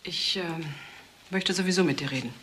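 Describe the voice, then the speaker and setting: An actress playing a bitter, defiant wife who wants a divorce, scornful and mocking, in a German crime radio drama. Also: female voice, fairly low pitch, moderate pace, a bit bright, a little hiss or hum, lightly acted.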